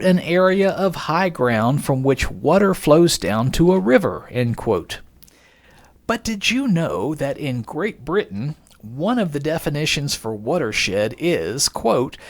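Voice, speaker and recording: A man hosting a podcast, reading scripted narration in a folksy, conversational way with joking asides and rhetorical questions. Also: fairly high pitch. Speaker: podcast host.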